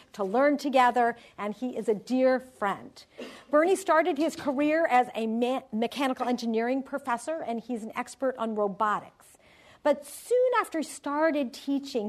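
A woman host introducing a guest speaker to an audience in a warm, personal way. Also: fairly high pitch.

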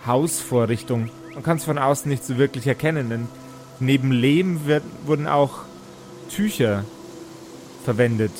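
A man narrates a fantasy tabletop game as game master. He sets out scenes in halting, deliberate phrases at a middling pitch, with music clearly playing behind him. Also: darkish tone. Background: background music.

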